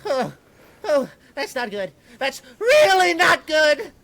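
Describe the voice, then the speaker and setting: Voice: sits high, big pitch swings, slow pace, vocal fry, fairly bright tone, a little hiss or hum, exaggerated voice. An actor voicing a wry, chatty piece of bubble gum, the optimist of a group in a comedic audio drama. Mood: alarmed. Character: soothing, sardonic, optimistic team-minded piece of bubble gum.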